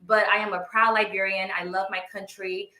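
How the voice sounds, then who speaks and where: A woman from Ivory Coast, raised in Ghana, introducing herself courteously and pausing often with filler sounds. Her voice is bright and forward. She is heard over a video call in a roomy space. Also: mid-range voice, flat delivery. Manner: courteous, uses many "um" fillers. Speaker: panel contributor. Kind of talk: polite guest introduction. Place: home in Los Angeles over a video call.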